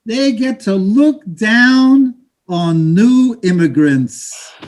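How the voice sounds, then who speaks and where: A man's voice: sits high, expressive, drawn-out words, heavily acted. A man playing a proud, scheming middle-aged immigrant father in a staged play reading, pleading and emphatic. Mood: proud, hopeful.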